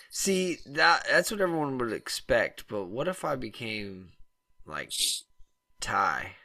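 A man's voice: mid-range voice, very animated, slow, pauses often, sharp tone. A man co-hosting a comedy podcast, trading crude, joking banter and riffing on absurd ideas. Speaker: podcast co-host.

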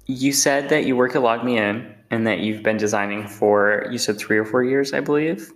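A man's voice: mid-range voice, moderate pace. A man hosting a podcast, asking his guest questions in a curious, easygoing way. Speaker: podcast host. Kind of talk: interview questions. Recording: roomy echo.

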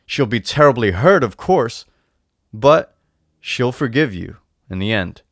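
A man's voice: mid-range voice, lively intonation, a little slow, a bit bright, no room sound, clean studio sound, pitched above the performer's own voice. A man voicing a sly old shopkeeper in a storybook tale, patient, persuasive and emphatic, savoring his words. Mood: reassuring. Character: sly old male shopkeeper selling potions, patient and coaxing. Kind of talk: storybook character voice in a read-aloud tale.

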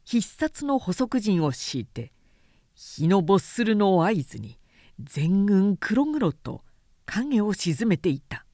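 A woman narrator reading a literary work aloud as an expressive storyteller. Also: medium pitch, slow, dry recording, studio quality. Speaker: narrator, reader.

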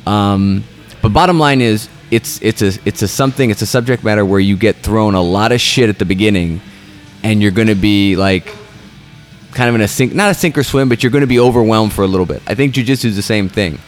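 A man's voice: lowish voice, fairly quick, soft background music. A man in casual conversation, rambling and often restarting his sentences. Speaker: guest.

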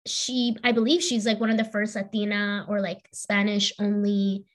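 A woman's voice: mid-range voice, level tone, moderate pace. A young adult American woman chatting casually as a podcast co-host. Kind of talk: casual chat, introducing a guest.

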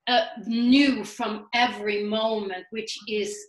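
A woman's voice: a little high, steady tone, slow pace. A woman from Sweden, talking as a guest in a casual interview in an upbeat, earnest way. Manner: upbeat, earnest, spiritual phrasing. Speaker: guest, life coach. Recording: echoey room.

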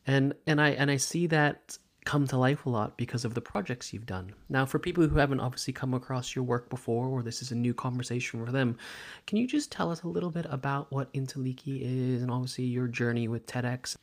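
A man with a voice of ordinary pitch hosts a podcast, unhurried and gentle in how he speaks. He talks with the measured calm of a meditation teacher. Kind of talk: podcast conversation.

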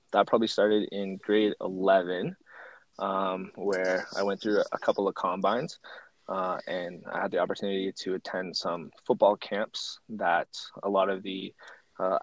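Deep-voiced young Canadian man recounting. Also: no room sound, low-quality recording. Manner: relaxed, thoughtful, frequent ums. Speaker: guest, former university football player. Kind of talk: interview storytelling.

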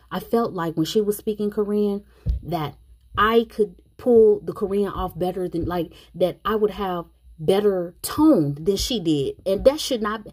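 Frank woman reviewing a show, husky voice. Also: lowish voice. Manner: thinking out loud, frank and opinionated. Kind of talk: casual commentary.

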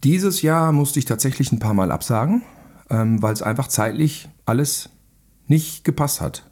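A man's voice: medium pitch, expressive, moderate pace, darkish tone. A man talking casually as a podcast guest, telling rambling, self-deprecating anecdotes. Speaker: podcast guest, film director and radio play writer. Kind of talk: casual chat.

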